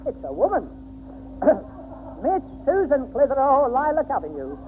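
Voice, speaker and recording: An actor playing a fussy old caretaker who hesitates and repeats himself in a 1960s radio sitcom. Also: male voice, very high voice, huge pitch swings, moderate pace, exaggerated voice. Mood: fussy, helpful. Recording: noisy, narrow-band old 1960s radio broadcast.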